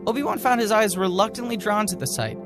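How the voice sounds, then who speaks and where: A man's voice: sits high, fast talker, over music. A man co-hosting a podcast, giving a mock-serious dramatic reading and breaking off into casual, amused asides. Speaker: podcast co-host reading aloud.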